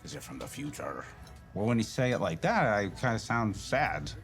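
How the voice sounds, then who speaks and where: An actor playing an awkward young man, speaking haltingly and earnestly in a naturalistic screen style. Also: lowish voice, sing-song, soft background music. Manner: halting, hesitant.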